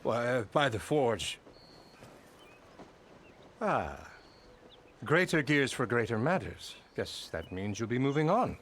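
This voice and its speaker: An actor playing a pompous, blustering middle-aged labor boss who talks in grand, flattering, evasive turns in a video game. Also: male voice, medium pitch, takes it slowly, long pauses, a little hiss or hum.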